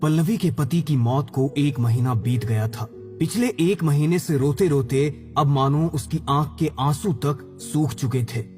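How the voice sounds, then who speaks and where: A man's voice: fairly high pitch, a little slow, darkish tone. A man delivering scripted narration for a dramatic horror story. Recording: music under the voice.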